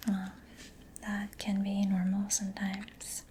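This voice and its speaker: Slow, whispering woman in soft ASMR roleplay. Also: medium pitch, monotone, slight background noise. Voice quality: breathy, mostly whispered. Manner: gentle, unhurried, reassuring. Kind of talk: soft-spoken ASMR roleplay as a mechanic examining a client.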